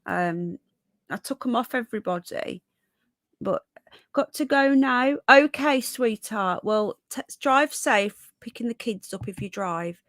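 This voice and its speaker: A middle-aged British woman with a croaky, congested voice from a cold, hosting a casual live stream where she reads news aloud and chats to viewers. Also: fairly high pitch, expressive, unhurried, a bit bright, no room sound. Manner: chatty, reads chat comments aloud and greets viewers.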